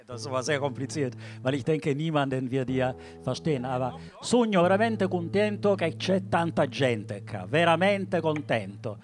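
A man's voice: a little high, expressive, normal speed, few pauses. A man from Sicily with a Sicilian Italian accent co-hosting a live event, effusive and speaking somewhat broken German; his first language is Sicilian. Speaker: co-host.

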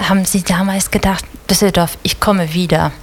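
A woman's voice: fairly low pitch, steady tone, normal speed, slight background noise. A woman from Bulgaria hosting a radio talk show, putting curious questions to her guests.

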